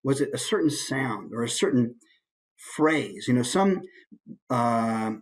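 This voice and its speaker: A man's voice: mid-range voice, expressive, takes it slowly, slightly rough. A man giving an informal instructional talk as a voice actor and coach. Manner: demonstrates points with brief put-on voices.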